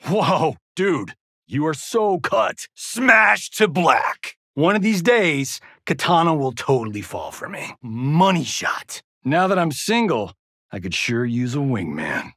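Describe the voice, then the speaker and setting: Cocky, animated Hollywood action star. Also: male voice, a little high, slow pace, husky, no echo, clean studio sound, heavily acted. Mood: smug, flippant. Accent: American. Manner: swaggering, sarcastic, showy. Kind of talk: video game character one-liners.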